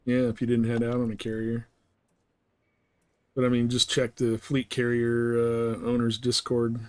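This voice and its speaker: A man's voice: mid-range voice, completely flat delivery, unhurried, clean tone, no room sound. A man streaming a game, chatting casually and thinking aloud in a conversational way. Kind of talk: casual chat while playing a space game.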